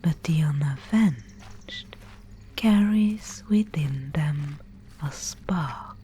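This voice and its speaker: Slow whispering, mysterious fantasy maiden guide, acted by a woman. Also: low-pitched, a little hiss or hum. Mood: hushed, solemn. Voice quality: breathy, unvoiced whisper. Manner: slow, hushed, solemn storytelling with deliberate pauses. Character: mysterious female Spindlemaid guide in a gloomy dark fantasy realm. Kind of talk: whispered ASMR audio roleplay.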